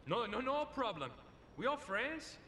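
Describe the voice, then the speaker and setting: A panicky, pleading gangster from a crime video game, with a Latin American Spanish accent. His very high voice swings around with a sharp, bright edge. He begs frantically and whinily. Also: big pitch swings, a little slow, exaggerated voice. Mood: frightened, placating. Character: frightened, pleading Colombian cartel gangster, man. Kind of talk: video game cutscene acting. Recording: echoey hall reverb, noisy rumbling background.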